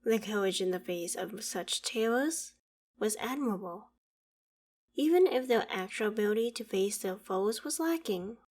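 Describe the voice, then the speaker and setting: A woman's voice: medium pitch, lively intonation, moderate pace, darkish tone. A woman playing a proud, ancient female dragon in an audio roleplay, speaking in formal, archaic phrases with a haughty, theatrical manner that still holds fondness for humans. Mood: proud, dismissive. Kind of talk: scripted audio roleplay monologue.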